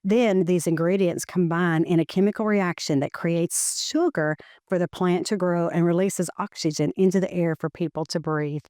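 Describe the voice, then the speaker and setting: A woman reads a children's educational picture book aloud in measured, deliberate phrasing. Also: fairly low pitch, fairly even intonation, darkish tone, no echo, studio quality.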